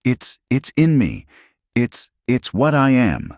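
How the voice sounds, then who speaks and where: Male narrator playing a tearful young woman in an audiobook, voice pitched high and breaking, dry close sound. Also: very animated, slow pace, a little mellow, no room sound. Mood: anguished, sobbing. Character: distraught young woman, sobbing and self-hating. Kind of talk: dramatic storybook narration.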